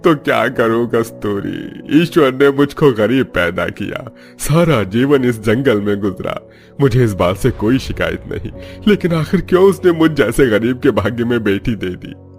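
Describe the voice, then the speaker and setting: A man's voice: mid-range voice, lively intonation, a little slow, faint music behind. An actor playing a poor old village father in a cartoon story, mournful and lamenting. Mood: despairing. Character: poor, sorrowful old forest woodcutter father.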